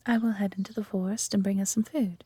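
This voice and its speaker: A woman's voice: medium pitch, steady tone, fast, mellow, breathy edge, dry recording. A woman with an English accent playing a friendly, adventurous elf archer in a roleplay audio drama, talking conversationally to the listener. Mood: friendly, chatty.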